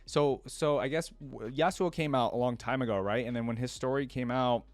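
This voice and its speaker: A man hosting a reaction video, commenting casually and musing out loud as he goes. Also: medium pitch, brisk, dry recording, soft background music. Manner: musing, often repeats quoted lines back.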